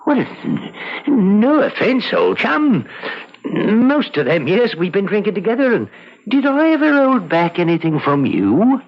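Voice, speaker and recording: A high-pitched pub gossip. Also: male voice, sing-song, a little slow, exaggerated voice. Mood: chummy. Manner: gossipy, folksy, dramatic. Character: chatty, nosy male village pub regular, an old drinking chum. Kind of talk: vintage radio drama acting. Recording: dull vintage radio recording, background crowd.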